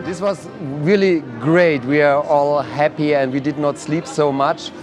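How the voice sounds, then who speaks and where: A man who ran a dance workshop at the festival, explaining it in a calm interview. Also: a little high, a little slow, music in the background. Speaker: workshop teacher.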